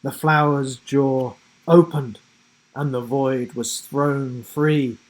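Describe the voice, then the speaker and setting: A man reading a children's storybook aloud in a dramatic, suspenseful way with deliberate pauses. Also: medium pitch, steady tone, rough recording. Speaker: children's book narrator. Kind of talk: dramatic scripted storybook narration.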